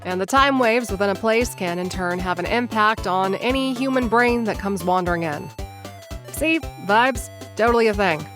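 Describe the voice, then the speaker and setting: A woman talks to listeners in a casual, wry way and gets flustered easily. Her voice sits at a middle pitch. Faint music plays underneath. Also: normal speed. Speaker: time-traveler narrator addressing listeners. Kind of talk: audio drama narration and acting.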